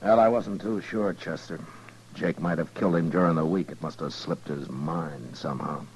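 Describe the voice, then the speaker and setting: A very low male voice plays a weary, dogged Old West lawman. He is dry and understated, often halting mid-thought. It is 1950s radio drama, with background noise on the old recording. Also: a little mellow, rough recording. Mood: dry, commanding. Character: calm, weary, dogged frontier town marshal. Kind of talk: 1950s radio western acting.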